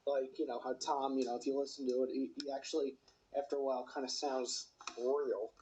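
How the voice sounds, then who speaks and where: A man's voice: mid-range voice, flat delivery, brisk, dark tone, slight background noise, poor audio. A man giving casual video commentary and reading announcements aloud. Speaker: video host.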